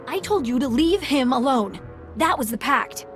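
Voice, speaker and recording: An actress playing a remorseful village matriarch in a video game, speaking softly and earnestly to plead for her people. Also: high voice, lively intonation, moderate pace, faint music behind. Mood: alarmed. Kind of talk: video game cutscene acting.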